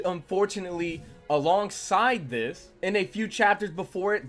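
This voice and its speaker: A man's voice: a little high, a bit bright. A man delivering review commentary as a video reviewer. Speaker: manga reviewer. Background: background music.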